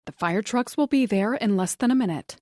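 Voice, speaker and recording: A woman voicing a calm emergency dispatcher who gives steady instructions. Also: medium pitch, a little fast, dry recording, clean studio sound, pitched above the performer's own voice, close to an everyday voice. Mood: calm, firm.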